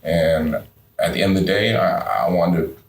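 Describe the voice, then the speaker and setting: Low-voiced man in his forties, earnest. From California. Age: 40s. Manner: earnest, halting with fillers and restarts. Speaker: podcast guest. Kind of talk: casual interview. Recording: echoey room, faint background noise.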